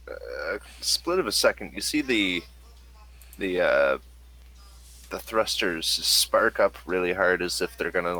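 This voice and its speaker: A man running a tabletop game as game master, narrating casually and haltingly as he thinks aloud. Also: low voice, sharp tone, rough recording.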